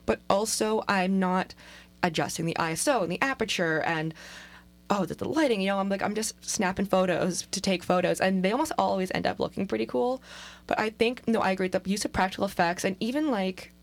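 A young woman co-hosting a podcast, chatting casually and telling stories with lively repeats. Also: medium pitch, fairly quick. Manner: animated storyteller, repeats words. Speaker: podcast co-host. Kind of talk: casual chat.

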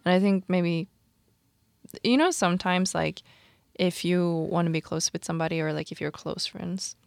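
Low, creaky-voiced young woman chatting. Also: normal speed, no room sound, clean studio sound. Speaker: podcast co-host. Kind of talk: casual chat.

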